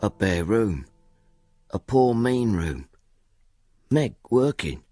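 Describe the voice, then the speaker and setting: An actor playing a humble, timid poor father in a radio drama, hesitant and halting, repeating himself as he pleads. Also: on the low side, sing-song, warm tone, no room sound, soft background music, heavily acted. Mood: sorrowful wonder.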